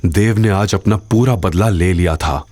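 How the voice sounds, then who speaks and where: A man's voice: low voice, measured pace, warm tone, no room sound, clean studio sound. A man giving dramatic scripted narration as the storyteller of an audio drama.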